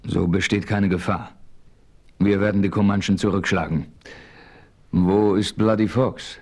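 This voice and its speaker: Low male voice, solemn frontier hero, measured storyteller, 1970s adventure radio play. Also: fairly even intonation, a little mellow. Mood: resolute. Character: solemn, heroic western frontiersman telling his adventure. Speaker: narrator and lead hero. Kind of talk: 1970s German adventure audio drama acting and narration.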